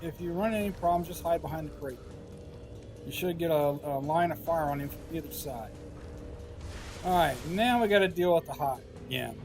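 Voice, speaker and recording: Slightly hoarse man narrating gameplay. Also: on the high side, moderate pace. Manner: relaxed, thinking aloud with frequent "uh" fillers. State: slightly hoarse, scratchy throat. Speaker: gameplay commentator. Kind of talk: casual game walkthrough commentary. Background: game music and gunfire.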